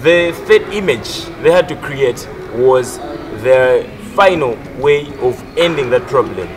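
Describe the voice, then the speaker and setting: A man's voice: medium pitch, animated, slow, noisy background. A man in his twenties, a theatre performer, recounting his experience in a documentary interview. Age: 20s. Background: music.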